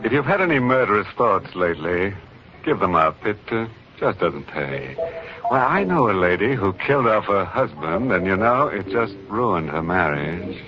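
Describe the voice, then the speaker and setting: A man's voice: deep voice, sing-song, moderate pace, noisy background. A man hosting a 1940s radio horror show in a droll, mock-sinister drawl. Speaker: host. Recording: muffled 1940s radio recording.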